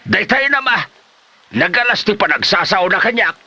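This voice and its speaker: A forceful, bright-voiced old Ilocano husband played by a male actor, stubborn and always taunting. His pitch swings widely as he bickers and exclaims, in the manner of radio comedy drama. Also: lively intonation, normal speed, no room sound, exaggerated voice. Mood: exasperated.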